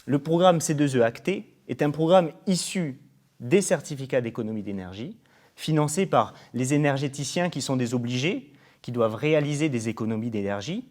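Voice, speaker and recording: A man's voice: mid-range voice, animated, normal speed, darkish tone. A man presenting a programme in an interview, formal and explanatory, often going through points as lists. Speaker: programme coordinator being interviewed.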